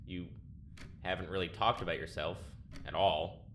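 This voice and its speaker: A man's voice: low-pitched, steady tone, normal speed, fairly bright tone. A man playing a dry, slightly awkward peacemaker among prisoners in a sci-fi audio drama. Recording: faint background noise.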